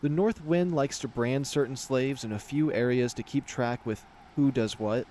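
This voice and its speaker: A man's voice: mid-range voice, moderate pace, mellow, a little hiss or hum, natural voice. A man voicing a blunt, knowing animal soldier in a fantasy visual novel, explaining things in an emphatic, wry way. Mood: grim.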